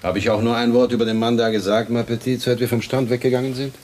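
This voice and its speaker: A man's voice: medium pitch, flat delivery, quick pace, darkish tone, natural voice. An actor playing a surly, cocky ladies' man with a curt, dismissive way of talking in a German radio play. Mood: curt, irritable.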